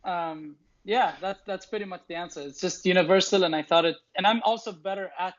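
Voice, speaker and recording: A man's voice: sits high, brisk, dull audio. A young man whose first language is Arabic, answering interview questions thoughtfully, often restarting and repeating words as he explains. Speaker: show founder and host, interviewed as guest.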